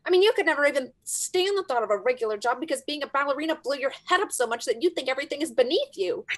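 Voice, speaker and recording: An actress playing a defiant grown daughter, emotional and argumentative, in a staged reading over video call. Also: female voice, high voice, lively intonation, rapid-fire. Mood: defiant, upset. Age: young adult. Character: defiant grown daughter, young ballerina standing up to mother. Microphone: video call at desk distance.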